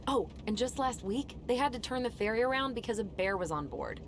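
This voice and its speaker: A woman's voice: fairly high pitch, expressive, fairly quick, natural voice. A woman acting a friendly, supportive young American woman with a playful, casual manner in naturalistic video game dialogue. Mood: amused. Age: young adult. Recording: game stream with clear background noise.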